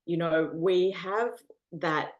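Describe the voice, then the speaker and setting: An Australian woman talks as a guest in a relaxed interview, her voice sitting on the low side. She is earnest and chatty, filling her sentences with "um" and "you know," and she laughs easily as she goes. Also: takes it slowly, highs cut off. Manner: earnest, laughs often, many fillers. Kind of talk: casual interview chat.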